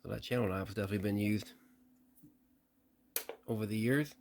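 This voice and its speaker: A man with a fairly low voice talks casually through a hands-on test. He sounds unscripted and a little hesitant, working things out aloud. There is light noise in the recording. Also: fairly even intonation, a little fast, gravelly, darkish tone. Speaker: vlogger testing vintage CB radios. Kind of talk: casual hands-on demonstration commentary.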